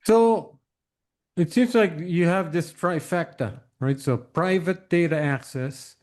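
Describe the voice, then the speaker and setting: A man hosting a casual interview, asking probing questions in a halting way and often repeating words. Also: on the high side, darkish tone. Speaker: interview host.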